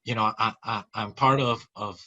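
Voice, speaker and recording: A man's voice: lowish voice, steady tone, moderate pace, sharp tone. A man from the Long Beach area, co-founder of a nonprofit and a guest, speaking in an earnest way and often repeating and restarting words. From Long Beach area, California. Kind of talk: conversational interview. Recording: muffled, lo-fi audio.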